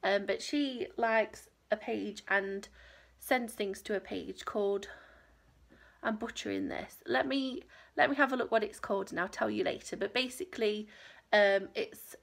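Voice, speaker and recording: A woman's voice: medium pitch, measured pace, gravelly. A woman in her thirties chatting casually to camera in a small home craft room, rambling and self-deprecating, sounding a little nasal from a cold. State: stuffy nose, nasal from a cold. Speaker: crochet vlogger.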